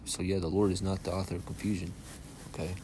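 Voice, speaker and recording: Soft-spoken young man teaching scripture, very low. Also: level tone, fast talker, noisy background. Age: young adult. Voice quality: soft-spoken by his own account. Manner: casual, checks in constantly with "all right?" and "okay?". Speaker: Bible teacher.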